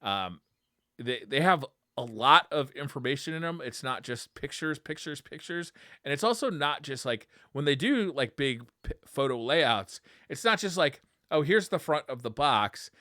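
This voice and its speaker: A man's voice: mid-range voice, lively intonation, normal speed, sharp tone, no echo, clean studio sound. A man co-hosting a podcast, chatting casually and enthusiastically about video game news in informal, slangy language. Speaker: podcast co-host.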